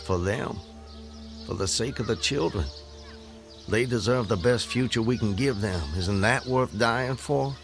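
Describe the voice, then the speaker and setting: An actor playing a proud, fervent planter in a radio drama, formal and grandiloquent. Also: male voice, medium pitch, measured pace, creaky, music in the background. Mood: earnest, devoted. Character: proud, fervent Southern gentleman planter and husband.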